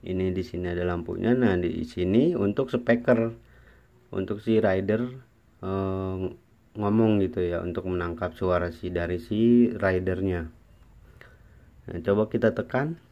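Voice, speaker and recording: A man with an Indonesian accent giving a casual, halting product explainer in an informal, repetitive way, like a vlogger. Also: low voice, mellow, lo-fi sound. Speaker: motovlogger presenting a product explainer.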